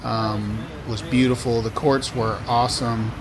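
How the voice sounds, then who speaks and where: A man hosting a casual tennis travel vlog, narrating in a relaxed, upbeat way. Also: medium pitch, a little slow, crowd noise, low-quality recording. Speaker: podcast host and tennis parent. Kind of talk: casual vlog voice-over and chat.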